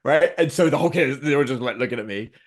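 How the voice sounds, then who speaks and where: Man, middle-aged, rapid talker, animated storyteller, acts out dialogue. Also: mid-range voice. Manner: animated, acts out his kids' and his own lines. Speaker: podcast guest. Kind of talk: storytelling in casual conversation.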